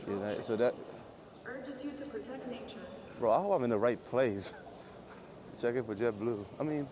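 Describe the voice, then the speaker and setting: A man with a low voice chatting casually and rambling to his livestream viewers as he walks through an airport terminal. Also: a little slow, a crowd in the background. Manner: rambling, joking, talks quietly. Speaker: IRL livestreamer. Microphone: phone or camera carried while walking. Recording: noisy phone livestream audio.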